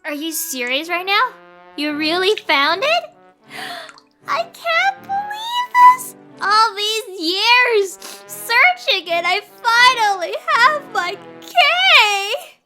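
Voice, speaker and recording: Woman voicing a high-pitched, cranky cartoon girl. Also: sing-song, music in the background, full character voice. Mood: overjoyed, theatrical. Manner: sardonic, theatrical, drawn-out complaining. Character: cranky, violent, sarcastic girl adventurer stuck in fairyland. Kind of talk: comic fan-dub voice acting.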